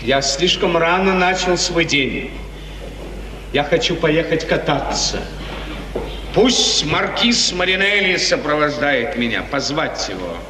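Restless feudal prince, 1950s radio drama, very animated delivery, distant and noisy old recording. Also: male voice, fairly high pitch, slow, echoey room, big-room sound. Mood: restless, commanding. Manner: theatrical, musing aloud, repeats phrases. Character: restless lovesick prince of a small feudal state. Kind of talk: 1950s Russian radio theatre acting.

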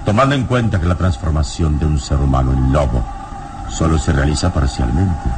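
A man acting a calm, wise, commanding adventurer hero in an old radio serial, speaking deliberately and dramatically. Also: a bass voice. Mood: grave, explanatory.

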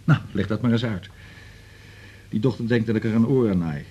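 An actor playing a busy family doctor in a radio drama, with a husky voice and brisk, businesslike delivery. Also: male voice, fairly low pitch, dark tone, lo-fi sound, slight background noise, close to an everyday voice. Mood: brisk, exasperated.

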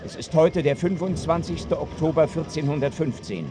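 A man narrating a radio play gravely as scripted description, with clear outdoor noise behind him. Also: fairly high pitch, moderate pace, close to an everyday voice. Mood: grave, descriptive.

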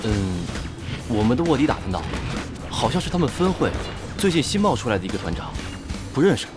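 An actor playing an eager, deferential young guild lieutenant in an audio drama, giving urgent battle reports. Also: male voice, mid-range voice, sing-song, brisk, lightly acted. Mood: urgent reporting. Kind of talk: Mandarin audio drama acting. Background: music with battle effects and gunfire.

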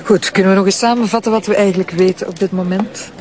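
An actor playing a mild, methodical, bemused police sidekick with a Flemish accent in a radio drama. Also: male voice, high voice, fairly even intonation, quick pace, a crowd in the background, natural voice.

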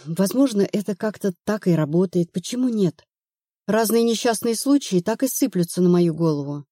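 A woman's voice: medium pitch, expressive, normal speed, dark tone, no room sound, clean studio sound, lightly acted. A woman narrator playing an anxious, stubborn woman of thirty-one in an audiobook, plaintive and earnest. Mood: uneasy confiding.

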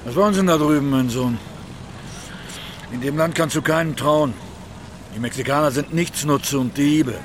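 Guy acting a gruff scornful border cop in an audio drama, bit high pitched, lots of traffic noise around. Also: moderate pace, pauses often, close to an everyday voice. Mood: brusque contempt. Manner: brusque, condescending. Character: gruff, contemptuous American male border guard.

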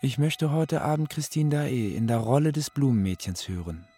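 A man's voice: medium pitch, animated, warm tone, slightly rough. An actor playing a courteous yet menacing male opera phantom, ceremonious and demanding, in for a radio play. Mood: imperious, formal. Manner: ceremonious, deliberate. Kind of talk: German radio play, letter monologue. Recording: studio voice over music. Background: music.

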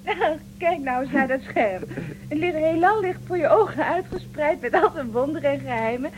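An actress playing a lively, headstrong young female space traveller in a science fiction radio drama, with an expressive, conversational delivery. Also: sits high, moderate pace, a little hiss or hum, lightly acted. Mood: playful, indignant.

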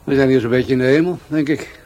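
An actor with a Netherlands Dutch accent playing a measured police detective in a radio play, politely probing in a dry manner. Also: male voice, medium pitch, a little mellow, a little hiss or hum, lightly acted.

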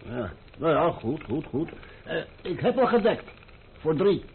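Muttering, grudgingly agreeable innkeeper and cook, old radio drama, tinny and clearly noisy. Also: male voice, on the high side, big pitch swings, a little slow, heavily acted. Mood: obliging, unsure. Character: fussy, obliging, doubtful innkeeper cooking supper.

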